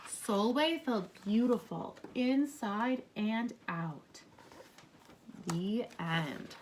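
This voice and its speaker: A woman reading a picture book aloud to children as a teacher, pausing to put questions to them in an encouraging way. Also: medium pitch, expressive, a little hiss or hum.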